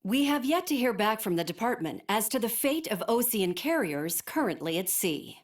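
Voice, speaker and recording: An actress playing a composed female news anchor delivering breaking news in a crisp, formal way. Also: a little high, big pitch swings, moderate pace. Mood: urgent.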